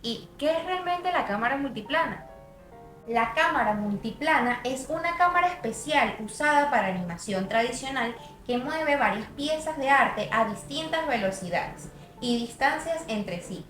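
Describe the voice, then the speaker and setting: High-pitched young woman in a roomy space. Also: animated, normal speed, fairly bright tone. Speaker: student narrator. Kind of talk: scripted documentary narration. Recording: roomy voice-over with music bed.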